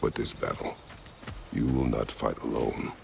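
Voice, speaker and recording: An extremely deep, heavily gravelled rumble belongs to a battle-worn warrior god. He is stern and stoic, choosing few words and giving each one weight. It is dramatic game acting, with clear ambient noise behind. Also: steady tone, full character voice. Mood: steadfast. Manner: terse, weighty, deliberate.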